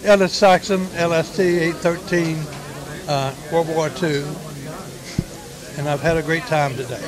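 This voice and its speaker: A man's voice: on the high side, fairly even intonation, unhurried, a crowd in the background, low-quality recording. Old man over a crowded terminal. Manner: repeats himself and restarts. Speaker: veteran. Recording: crowded terminal noise behind.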